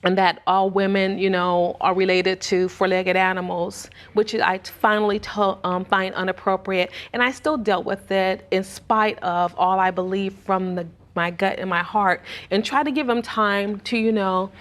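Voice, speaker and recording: Brief: woman in a TV courtroom, quiet and even, little pitch movement. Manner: soft-spoken, measured, with hurt complaints. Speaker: litigant on a court show. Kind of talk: courtroom testimony.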